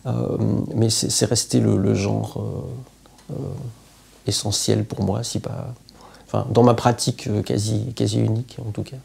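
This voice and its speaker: A man, a poet and literature teacher speaking to camera in an interview, reflective and halting with frequent hesitations. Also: on the low side, little pitch movement, gravelly, darkish tone, slightly rough. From Belgium. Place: indoor room with plants, at home.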